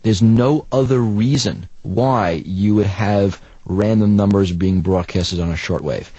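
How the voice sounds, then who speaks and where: A man's voice: on the low side, measured pace, dark tone, breathy edge, no echo, highs cut off. A man giving explanatory interview answers as an expert.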